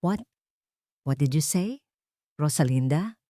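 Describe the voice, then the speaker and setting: A woman voicing an arrogant, hot-tempered wealthy husband in an audio romance story, with a Filipino accent and a forceful, demanding manner. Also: deep voice, lively intonation, slow, dry recording, studio quality. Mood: angry, demanding.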